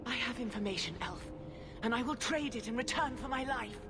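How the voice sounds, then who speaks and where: A woman's voice: on the high side, lively intonation, a little fast. An actress playing a proud female mage villain in a video game, cornered, urgent and calculating as she bargains. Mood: urgent bargaining. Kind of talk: dramatic video game acting.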